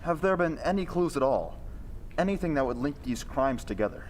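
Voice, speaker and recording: Guy playing cool gentleman detective, formal and measured, highish voice, old radio play, echoey hall. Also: animated, natural voice. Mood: composed questioning. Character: calm, bold gentleman investigator in a mystery. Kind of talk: old-time radio drama acting. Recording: reverberant hall, background hiss.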